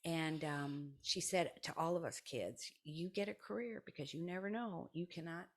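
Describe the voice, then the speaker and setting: A woman's voice: on the low side, normal speed. An American woman in her sixties from South Dakota tells personal stories as an interview guest, rambling conversationally and often interrupting herself to quote people. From South Dakota, United States. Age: 60s. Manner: rambling, self-interrupting, anecdotal with quoted dialogue. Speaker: podcast guest, retired kindergarten teacher. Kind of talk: casual conversational storytelling.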